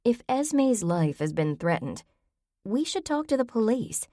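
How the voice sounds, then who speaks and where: A woman's voice: fairly high pitch, very animated, unhurried, dark tone, no echo, clean studio sound, voice pushed up. A woman voicing a practical, puzzled adult woman who asks reasonable questions in an audiobook.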